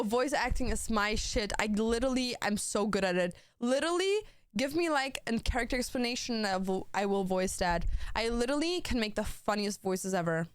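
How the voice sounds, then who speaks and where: A woman's voice: fairly high pitch, clean tone. A young woman streaming, chatting casually, swearing a lot and saying "like" and "bro" constantly. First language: German. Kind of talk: casual chat on a gaming stream.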